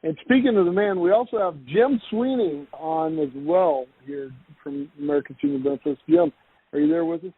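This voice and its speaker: A man's voice: high voice, sing-song, normal speed, gravelly, slightly rough, no echo. A man with a West Texas accent hosting a podcast, chatting in a jokey, self-deprecating way. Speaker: podcast host. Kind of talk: casual video-call chat. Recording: phone-like call audio.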